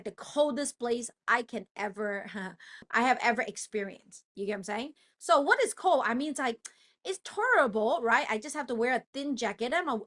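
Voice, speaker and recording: A woman's voice: fairly high pitch, a bit bright. A woman with a Malaysian accent, talking casually to her community and checking in often with her listeners. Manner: chatty, keeps asking "right?". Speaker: host.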